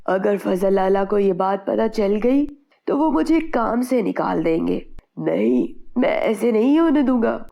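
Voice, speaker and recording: A woman's voice: medium pitch, expressive, unhurried, vocal fry, highs cut off. A woman voicing an honest, worried farm labourer in a children's moral story cartoon, earnest and plain-spoken. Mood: puzzled, worried.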